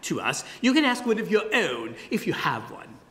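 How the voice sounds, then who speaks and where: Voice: medium pitch, big pitch swings, moderate pace, a bit bright, pitched above the performer's own voice. A narrator voicing a genial, pompous male walrus with grand, theatrical courtesy, with a long hall reverb on the recording.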